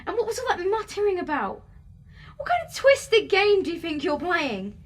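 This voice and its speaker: A young woman playing a feisty, informal, excitable ghost-hunting vlogger in an audio drama. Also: very high voice, normal speed. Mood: indignant. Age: young adult.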